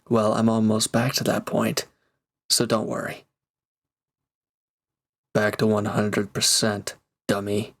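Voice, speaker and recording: Low, unhurried reformed villain played by a man. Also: fairly bright tone, full character voice. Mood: defensive, reassuring. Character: gruff but caring reformed villain, flustered, reluctant to leave. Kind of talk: intimate roleplay audio drama monologue.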